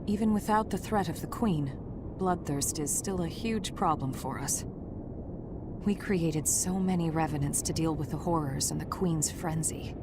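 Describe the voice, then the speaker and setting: A woman playing a caring young immortal researcher in a video game, speaking in a gentle, reassuring and measured way. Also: low voice, noisy background, close to an everyday voice. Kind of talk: video game cutscene acting. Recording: loud music behind the voice. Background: loud background music.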